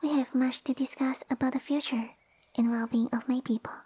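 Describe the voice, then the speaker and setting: A woman voicing a small, sassy female lamia who rules her village, speaking softly and breathily with formal, imperious phrasing in an ASMR roleplay. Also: high-pitched, level tone, fairly quick, no echo. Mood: commanding, smug. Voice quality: soft, breathy, whispery. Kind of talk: ASMR roleplay audio addressed to the listener.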